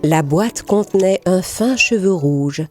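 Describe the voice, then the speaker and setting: A woman narrating a scripted children's fairy tale in a clearly articulated storytelling manner. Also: lowish voice, expressive, slow, faint music behind.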